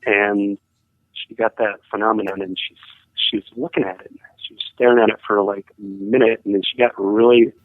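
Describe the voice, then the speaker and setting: A man tells his story down a telephone line. He talks in a halting, rambling way and hedges often. His voice sits a little low and sounds dry and tinny. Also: steady tone, dry recording. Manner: halting, rambling, full of hedging fillers. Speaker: caller sharing a ghost story. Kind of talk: casual storytelling.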